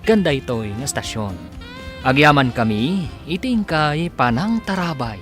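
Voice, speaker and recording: A man's voice: fairly high pitch, animated, unhurried, fairly bright tone. A man narrating and announcing a radio drama serial in a scripted, dramatic style. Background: instrumental music.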